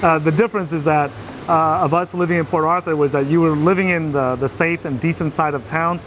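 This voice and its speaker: A man's voice: a little high, fairly even intonation, fairly quick, fairly bright tone, slight background noise. A man from Vietnam, a guest telling his life story in a casual interview, with many fillers and restarts.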